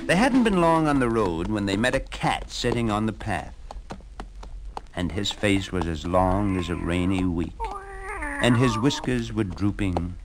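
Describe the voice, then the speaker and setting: A man narrating a storybook tale for a shadow puppet show in an expressive way. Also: on the low side, measured pace, music in the background.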